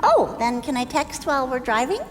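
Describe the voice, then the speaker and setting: An actress playing a curious, nervous and excitable girlish character in an audio drama. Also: sits high, fairly quick, in a large hall, faint music behind. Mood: curious, uneasy.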